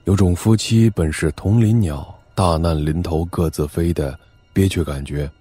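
A man playing a weary, tender aging father and high minister in an audio drama, grave and reflective. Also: normal speed, warm tone, breathy edge, no echo. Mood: gentle, regretful. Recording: music under the voice.

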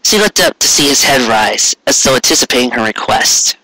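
Bright-voiced man narrating a story. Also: mid-range voice, lively intonation, fairly quick, no echo, low-quality recording. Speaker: amateur fan fiction reader. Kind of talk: scripted narration, storybook reading.

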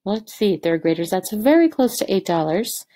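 A woman's voice: mid-range voice, big pitch swings, moderate pace, dark tone, a little hiss or hum. A woman teacher reading a picture book aloud to third graders, expressively and with direct questions to the children.

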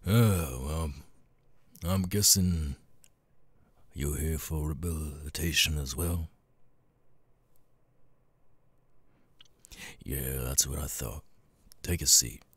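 A man voicing a gruff, world-weary cat demon bartender in an ASMR audio roleplay, raspy and laconic, with casual swearing and long pauses. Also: no echo, full character voice. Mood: gruff, guarded. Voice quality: raspy, very deep gravelly voice. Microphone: close, dry studio mic.

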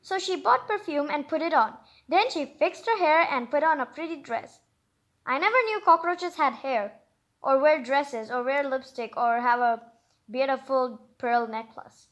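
A woman's voice: high-pitched, lively intonation, measured pace, muffled recording. A woman hosting a storybook read-aloud, breaking in with chatty, exclaiming asides in an informal way. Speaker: host of a story reading channel.

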